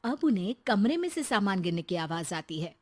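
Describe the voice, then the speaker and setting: A woman narrates a cartoon story in an even, scripted storytelling manner. Her voice sits at an ordinary middle pitch. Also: fairly quick, background noise.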